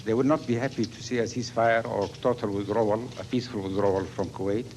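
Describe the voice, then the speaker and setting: A man speaking as a diplomat, making a formal statement in a deliberate, halting way. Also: on the low side, fairly even intonation, a little mellow, slight background noise. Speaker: diplomat, ambassador.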